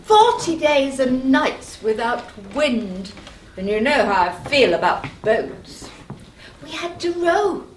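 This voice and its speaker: Actress as young huntress goddess, dry, pointed, stage comedy, slow delivery, far from mic, background noise. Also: female voice, sits high, sing-song, heavily acted. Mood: wry, needling. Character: wry, proud young huntress goddess, visiting niece. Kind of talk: live one-act stage comedy acting. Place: stage of a small playhouse. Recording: distant echoey theatre, clear background noise.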